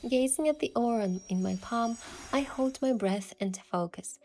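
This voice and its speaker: A young woman gently narrating a romantic story in the first person as its heroine, in a soft, hushed voice. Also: on the high side, normal speed, soft background music.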